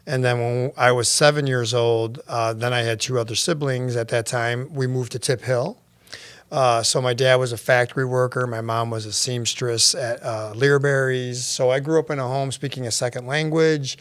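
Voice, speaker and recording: A sixty-year-old man from the United States, Spanish his first language, telling his story in a chatty, lively way as a podcast guest. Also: medium pitch, moderate pace, clear, resonant tone, dry recording. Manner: lively, chatty, laughs often. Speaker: guest, nonprofit founder. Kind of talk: casual interview storytelling.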